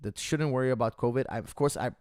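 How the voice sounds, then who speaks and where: A man's voice: medium pitch, fast, darkish tone, no echo, clean studio sound. A man hosting a casual podcast, ranting heatedly to viewers, repeating himself and calling them guys. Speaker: podcast host. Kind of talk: casual opinion rant to viewers. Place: Canada.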